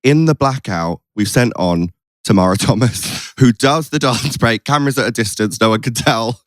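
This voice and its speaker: A man's voice: mid-range voice, moderate pace, dry recording. A British man hosting a podcast in casual chat, with playful, campy banter. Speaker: podcast host.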